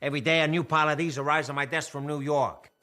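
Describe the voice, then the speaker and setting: An actor playing a brash, sarcastic 1930s Hollywood studio boss in a period drama, with an American accent and slangy, bullying talk. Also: male voice, fairly high pitch, fairly even intonation, fast, pushed and bright. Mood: brisk, defensive. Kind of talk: radio-play style period drama acting.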